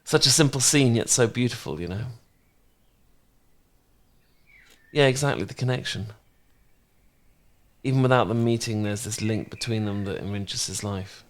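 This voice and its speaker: A man playing a smitten, bashful housemate in an intimate romance audio roleplay, speaking gently and sometimes haltingly. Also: lowish voice, lightly acted. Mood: gentle, relaxed. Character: smitten, bashful male housemate, tender poetry reader.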